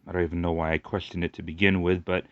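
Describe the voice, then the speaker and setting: A man with a lateral lisp, rambling through a casual personal monologue with halting restarts and fillers. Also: low-pitched, level tone, no echo, dull audio. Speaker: YouTuber.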